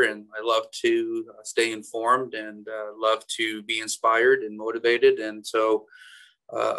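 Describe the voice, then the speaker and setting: A man's voice: fairly low pitch, a bit bright, low-quality recording. A man in his fifties introducing himself on a video call panel from an office, with many fillers. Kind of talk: self-introduction.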